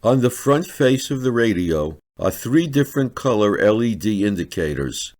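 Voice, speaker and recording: A man's voice: lowish voice, big pitch swings, normal speed, a little mellow. A man reading a scripted instructional product review in a methodical, step-by-step manner.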